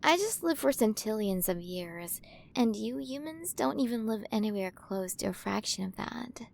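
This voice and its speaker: A woman voicing an ancient alien queen in an ASMR roleplay, curious and playful, gently condescending and possessive toward her human. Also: a little high, lively intonation, moderate pace, creaky, a little hiss or hum. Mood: gently explaining. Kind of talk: ASMR roleplay, acted monologue to the listener.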